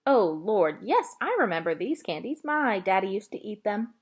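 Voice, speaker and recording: A woman puts on a gentle old lady from a storybook. She is folksy and given to exclaiming, and her pitch swings in a lively way. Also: normal speed, husky. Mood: savoring, wistful. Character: gentle elderly woman savoring sweets and stories.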